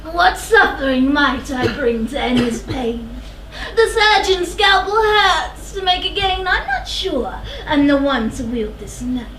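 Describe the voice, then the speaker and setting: An actress playing a blind old prophet in a stage verse drama, declamatory, foreboding and taunting. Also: female voice, high-pitched, expressive, unhurried, fairly bright tone. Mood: anguished reluctance. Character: blind old female seer, ominous and defiant. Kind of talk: theatrical verse stage acting. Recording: echoey theatre, distant mic, faint noise.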